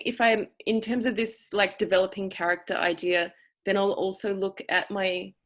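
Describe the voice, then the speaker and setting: A woman with a cultivated, trained-sounding Australian accent, teaching an acting seminar over a video call. She talks thoughtfully, stopping often to find the right word. Her voice sits at a middle pitch for a woman. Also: a bit bright. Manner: thoughtful, searching for words, with pauses. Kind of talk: explanatory seminar talk.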